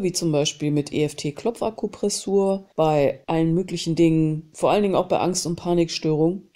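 Low, mellow-voiced woman guiding tapping. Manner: calm, repeats phrases and calls out tapping points. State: has a cold. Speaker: self-help channel host. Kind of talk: guided EFT tapping session.

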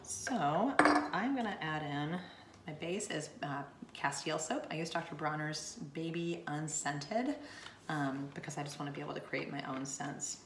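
A woman in her thirties, slightly hoarse from losing her voice, chatting through a home how-to demonstration at her bathroom counter. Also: low-pitched, normal speed. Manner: chatty, explains while demonstrating with hands. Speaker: home DIY vlogger. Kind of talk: casual how-to vlog. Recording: roomy home recording, faint hiss.

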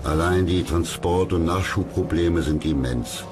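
Very deep-voiced male documentary narrator. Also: a little slow, over music.

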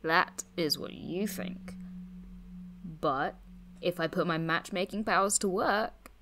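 A woman's voice: lowish voice, slow pace, fairly bright tone, a little hiss or hum. An actress playing a wry, teasing young woman who is ailing, in an audio drama, at times murmuring weakly. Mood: playful. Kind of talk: naturalistic audio drama acting.

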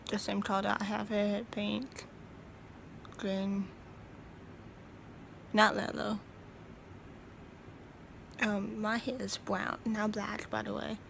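A tired young woman talking slowly into a phone held close, rambling and half-whispering in a small room. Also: medium pitch, completely flat delivery, gravelly, a little hiss or hum. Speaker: vlogger. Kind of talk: casual selfie video chat.

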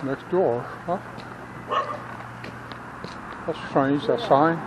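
A man's voice: fairly high pitch, very slow. A middle-aged man, casually commenting on a walking tour and reading out signs as he passes them. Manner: muttering, reads signs aloud, trails off. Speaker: vlogger filming a walking tour. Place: outdoors on a small-town street. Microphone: body-worn action camera. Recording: loud street traffic noise outdoors.

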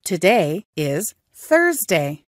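A woman with a low voice repeats short practice phrases, each one slowly and carefully. Her pitch rises and falls a lot. The recording is dry and close. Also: big pitch swings, no echo. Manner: slow, careful phrase repetition. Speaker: English teacher. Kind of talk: repeating phrases for language learners.

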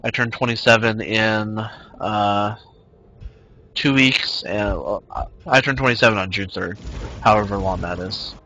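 Bright-voiced young man streaming casually. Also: lowish voice, measured pace, muffled recording. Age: 20s. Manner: rambling, reads chat aloud, many fillers. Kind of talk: casual live-stream chat while gaming.

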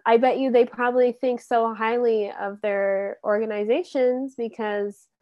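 A woman's voice: on the high side, steady tone, unhurried, no echo, dull audio. Exasperated woman in casual talk. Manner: exasperated, swears, laughs. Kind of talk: casual conversation.

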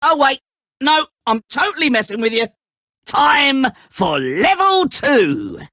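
A man's voice: very high voice, sing-song, slow pace, sharp tone, no echo, studio quality, exaggerated voice. An actor playing a giddy, taunting, all-powerful snowman trickster in a video game, with a gleeful, mocking, hammy delivery.

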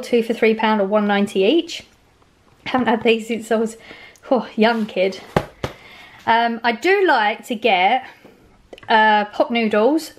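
A woman in her forties chatting casually at home in her kitchen, rambling cheerfully about the bargains she bought. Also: a little high. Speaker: vlogger. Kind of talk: casual grocery haul chat.

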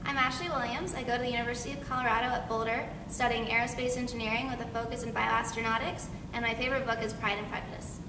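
Young woman, higher voice, upbeat, echoey room, distant mic. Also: fairly even intonation, quick pace, hardly any pauses, background noise. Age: young adult. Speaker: engineering student.

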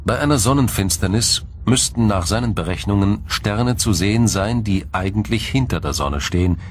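A man narrating a scripted science documentary in a measured, formal, explanatory way. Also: lowish voice, expressive, slight background noise.

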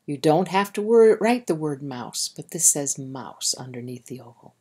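A woman's voice: sits low, lively intonation, normal speed, darkish tone, breathy edge. A woman reading aloud to young children and guiding them in simple, instructive language, speaking directly to the listener. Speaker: storybook reader and drawing guide.

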